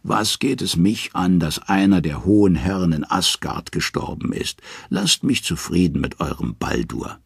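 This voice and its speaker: A man's voice: low voice, expressive, a little slow, creaky, few pauses, slightly rough, no room sound, clean studio sound, exaggerated voice. A man voicing a bitter, defiant old female troll in an audio storybook, scornful and unmoved. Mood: dismissive.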